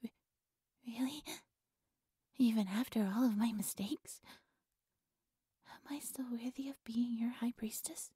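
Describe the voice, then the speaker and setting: A woman acts out an obsessive high priestess of a dark god in an audio drama. Her voice is very breathy, soft and often near a whisper, recorded dry and close. She speaks formally, with fawning, reverent devotion. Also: measured pace, pauses often, no room sound. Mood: honored, eager. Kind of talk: breathy audio roleplay acting.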